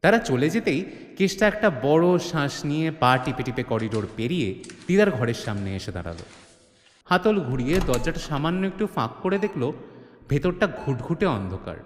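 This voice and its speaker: A man's voice: on the high side, big pitch swings, normal speed, a little hiss or hum. A man narrating an audio drama as a suspenseful, theatrical storyteller. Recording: echoey, long reverb, music behind. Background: music.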